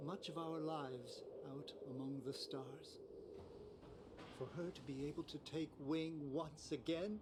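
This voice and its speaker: A man playing an earnest, sentimental young envoy in a video game, speaking in a halting, heartfelt way. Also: medium pitch, heavy background noise, close to an everyday voice. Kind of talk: scripted video game acting.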